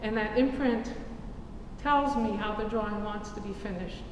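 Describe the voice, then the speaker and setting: Echoey distant woman explaining her drawings. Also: medium pitch. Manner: measured, explanatory. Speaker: artist presenting her work. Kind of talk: gallery talk. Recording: echoey hall recorded from far off, noisy.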